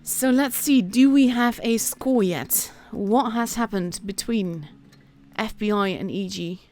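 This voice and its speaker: Female esports streamer, Dutch accent, medium pitch, informal play-by-play with a chatty manner, music underneath. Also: takes it slowly, darkish tone. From Netherlands. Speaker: esports commentator and stream host. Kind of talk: casual live game commentary.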